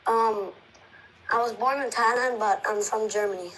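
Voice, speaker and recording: A female caller on a live stream, chatting casually in brief, halting replies. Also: a little high, steady tone, a bit bright. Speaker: livestream caller. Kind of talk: casual live chat, short answers. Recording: noisy live stream call.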